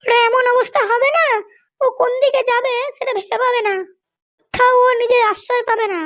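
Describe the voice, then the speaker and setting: A woman's voice: extremely high pitch, fairly even intonation, fairly quick, a bit bright. A woman voicing a bossy, vengeful female politician and scolding wife in a comedy cartoon, haranguing and boastful.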